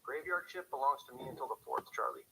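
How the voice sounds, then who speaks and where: An actor playing a dutiful small-town night-shift police officer in a radio drama, dry, measured and understated over a radio. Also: male voice, mid-range voice, huge pitch swings, sharp tone, slight background noise, heavily acted, low-quality recording. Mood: wry, businesslike. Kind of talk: radio drama, scripted log narration and calls.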